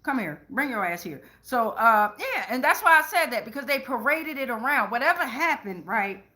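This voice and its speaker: A woman's voice: a little high, sing-song, moderate pace, sharp tone. A woman giving a casual, rambling tarot reading, blunt and profane, full of slang and checking in with "right?". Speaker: tarot reader and channeler.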